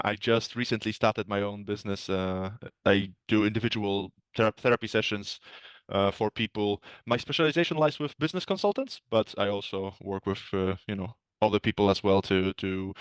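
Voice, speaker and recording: Man, thirties, interview guest, candid and reflective, smooth resonant voice, dry close sound. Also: on the low side, a bit bright, no echo. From Poland. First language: Polish. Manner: candid, reflective, laughs easily. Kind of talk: casual conversation.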